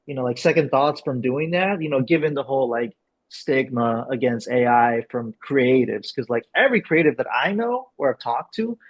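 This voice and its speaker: A man's voice: mid-range voice, normal speed. A man hosting a casual interview as a filmmaker, on dull, lo-fi audio. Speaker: host, filmmaker.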